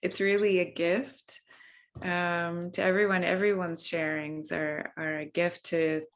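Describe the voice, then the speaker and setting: Soft gravelly woman, slow and gentle, guiding a mindfulness circle over a video call, lots of ums. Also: on the low side, little pitch movement. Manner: soft, unhurried, with frequent ums and restarts. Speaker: workshop facilitator. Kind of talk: gentle guided mindfulness talk.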